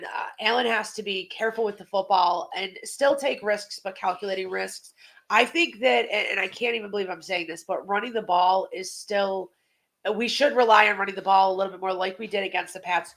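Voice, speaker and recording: A woman hosts a casual football podcast with a creaky, fry-laden voice at a middling pitch. She sounds a little congested and talks conversationally, elaborating on opinions and putting questions to her co-host. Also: a bit bright, low-quality recording. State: slightly congested. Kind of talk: casual football preview chat.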